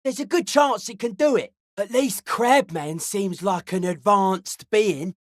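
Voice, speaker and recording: An actor playing a laid-back young hardcore underground raver who is wary of cops, talking in sardonic street slang for a video game. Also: male voice, high-pitched, measured pace, dry recording, studio quality. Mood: enthusiastic.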